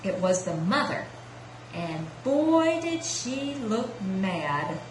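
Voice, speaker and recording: Female storyteller for children's tale; unhurried, lively intonation, noisy roomy recording. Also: medium pitch. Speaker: storyteller, puppeteer. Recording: roomy sound with clear background noise.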